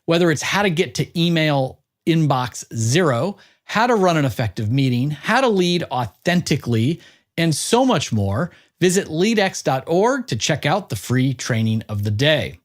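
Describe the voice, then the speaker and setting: A male podcast host with a fairly high voice that moves around with great animation. He presents and interviews in an upbeat style. His phrasing is punchy and emphatic. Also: big pitch swings, normal speed.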